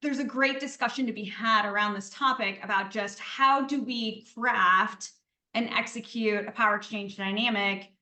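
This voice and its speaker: Chatty woman guest on a podcast, bright clear voice, kinda echoey room. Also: fairly high pitch, fairly even intonation, moderate pace. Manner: talkative, explains at length. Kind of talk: casual podcast interview.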